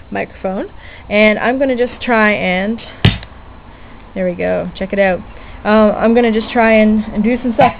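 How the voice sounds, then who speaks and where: Woman chatting over tinny, noisy audio. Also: medium pitch. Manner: hesitant, self-correcting, chatty. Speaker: DIY electronics hobbyist presenter. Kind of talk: casual unscripted demonstration. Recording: tinny lo-fi webcam-era audio, background noise.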